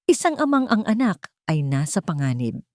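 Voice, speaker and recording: A woman's voice: fairly low pitch, very animated, moderate pace, no room sound, studio quality. A woman narrating a scripted romance story in a dramatic storytelling style.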